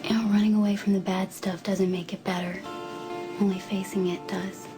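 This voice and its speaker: An actress playing a caring, candid aunt in a television soap drama, speaking in an earnest, gently persuasive way. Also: female voice, mid-range voice, little pitch movement, normal speed, music in the background, close to an everyday voice. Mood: gentle, confiding. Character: caring, candid aunt urging a child toward help. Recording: clearly noisy background ambience.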